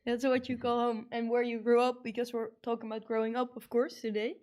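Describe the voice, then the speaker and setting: Higher-pitched young woman hosting radio. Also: monotone, brisk, a little mellow. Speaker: radio show host. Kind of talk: upbeat show presenting and interviewing.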